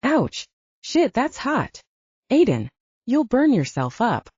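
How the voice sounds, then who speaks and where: A woman's voice: fairly high pitch, huge pitch swings, slow pace, a little mellow, breathy edge, no room sound, pitched above the performer's own voice, exaggerated voice. A woman reading aloud, voicing an anxious, flustered young city woman who talks in a fretful way. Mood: alarmed. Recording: dull lo-fi dry sound.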